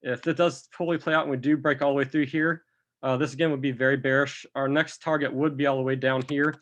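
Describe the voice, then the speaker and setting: A man's voice: mid-range voice, level tone, a bit bright. Slightly sick man walking through charts. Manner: unhurried, talks through charts step by step. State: a bit sick with a cough. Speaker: crypto chart analyst. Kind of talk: screen-recorded chart walkthrough.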